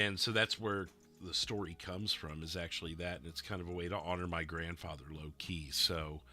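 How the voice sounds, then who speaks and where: A man chatting casually with his stream viewers, his voice a little rough and stuffy, rambling and laughing often. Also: sits low, pushed and bright. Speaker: livestreamer playing a roleplay dispatcher.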